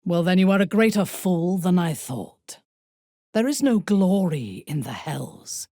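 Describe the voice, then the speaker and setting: A woman playing a haughty, menacing dark elf warrior commander in a video game, with a husky voice and a cold, imperious, deliberate delivery. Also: fairly low pitch, animated, no room sound, clean studio sound. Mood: scornful. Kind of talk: dramatic video game voice acting.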